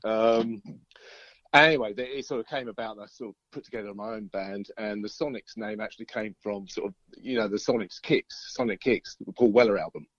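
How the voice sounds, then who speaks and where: A man's voice: on the low side, brisk, a bit bright, no room sound. An easygoing man chats casually as an interviewed band musician, rambling and often hesitating over his words. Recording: dull lo-fi call audio.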